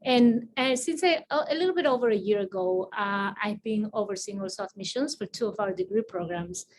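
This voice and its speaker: Quick-talking woman with a Spanish accent. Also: medium pitch. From Spain. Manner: quick, with frequent fillers and restarts. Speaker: assistant dean moderating a panel. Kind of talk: moderating an online panel. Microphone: video call.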